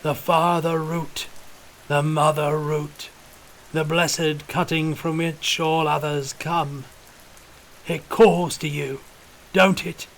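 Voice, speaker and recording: A man's voice: a little high, little pitch movement, slow, gravelly, a bit bright, voice pushed up, heavily acted, rough recording. A man voicing a calculating, fanatical old regiment officer, wheezy and intoning like a preacher, in an audiobook. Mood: reverent, hungry. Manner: intoning, preacherly, rustic archaic turns of speech.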